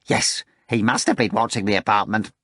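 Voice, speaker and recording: A man's voice: fairly low pitch, normal speed, dry recording, clean studio sound. Man doing a fussy, fawning sidekick. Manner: whining, excitable, gushing. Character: fawning, fussy male retinue member, wheedling.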